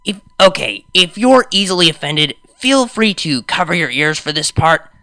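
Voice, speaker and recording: A woman narrates a first-person story expressively. Her voice sits low with a bright, forceful edge, and her intonation moves around a lot. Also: sing-song, normal speed, dry recording. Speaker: fan fiction reader.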